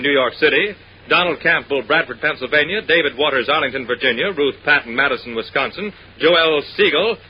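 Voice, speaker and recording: High-ish male announcer, old muffled radio. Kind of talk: 1940s radio announcing, reading out names and towns. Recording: dull, faintly noisy 1940s radio recording.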